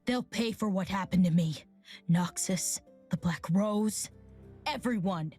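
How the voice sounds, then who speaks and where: A woman's voice: medium pitch, measured pace, dark tone, husky, faint music behind. A woman voicing a bitter, defiant teenage girl warrior in a video game, blunt and sardonic.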